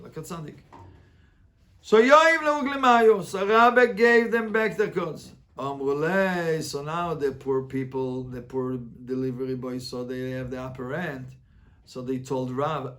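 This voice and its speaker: Rabbi guy teaching a class, slightly high voice, reads a line then translates it, repeats stuff, asks rhetorical questions. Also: a bit bright. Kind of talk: interactive lesson, reading a text and translating phrase by phrase.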